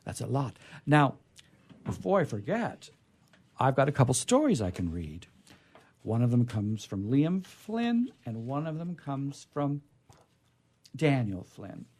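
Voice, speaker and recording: A man hosting a radio show, reading stories aloud in a theatrical, deliberate, emphatic way with casual asides. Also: mid-range voice, sing-song, darkish tone, slightly rough.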